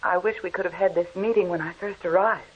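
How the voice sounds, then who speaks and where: Ambitious actress, muffled vintage radio drama. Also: female voice, lowish voice, fairly even intonation, fast, slightly rough. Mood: torn, earnest. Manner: theatrical, melodramatic delivery. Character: ambitious young stage actress, proud, torn by old love. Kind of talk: 1940s radio acting. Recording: muffled old radio horror recording.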